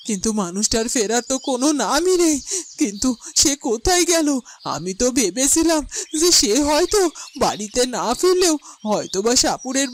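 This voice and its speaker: Cartoon village wife, female actor, high-pitched, very expressive, plaintive and distraught, halting repetitions, slightly noisy track. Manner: plaintive, halting, repeats words for emphasis. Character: distraught village wife searching for her missing husband. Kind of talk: melodramatic cartoon voice acting.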